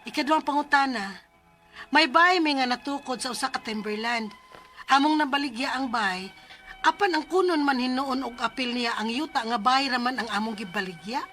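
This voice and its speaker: A woman's voice: high-pitched, normal speed, bright, forceful tone, faint music behind. A woman acting and narrating as an earnest, respectful young daughter in a Cebuano Filipino radio drama. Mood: troubled narration. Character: earnest, respectful young daughter telling her family's problem.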